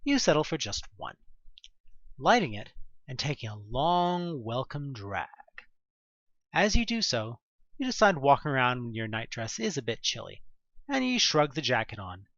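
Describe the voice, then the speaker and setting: A man reading a scripted second-person story in a theatrical, expressive narrator's manner. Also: mid-range voice, normal speed, no echo, dull audio.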